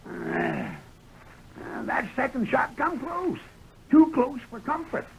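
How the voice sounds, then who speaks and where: An actor playing a cranky but hopeful old American prospector with a creaky, sharp voice and rustic Western speech, in 1930s radio style. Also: male voice, very high-pitched, very animated, slow pace, heavily acted. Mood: wary. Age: older. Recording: noisy 1930s radio recording.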